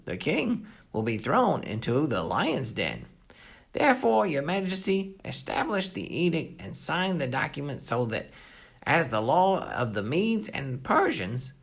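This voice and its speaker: Scheming, insistent royal official with a gravelly edge acted by a storyteller. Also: medium pitch, a bit bright, full character voice. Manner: formal, insistent. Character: scheming royal officials, flattering and accusing a king.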